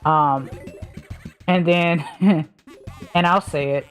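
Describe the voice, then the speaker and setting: A woman's voice: sits low, fairly even intonation, drawn-out words, soft background music. A woman with a mild Southern US accent, chatting casually on a stream and rambling as she thinks aloud with lots of fillers. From Southern United States.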